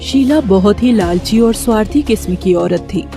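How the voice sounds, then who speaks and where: A woman's voice: mid-range voice, normal speed, mellow, soft background music. A woman narrating a scripted moral story as its storyteller.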